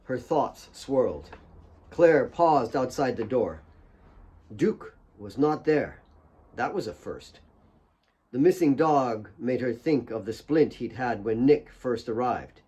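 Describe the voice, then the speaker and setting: A man's voice: medium pitch, lively intonation, takes it slowly, a little mellow. A man reading his own fiction as a dramatic audiobook narrator.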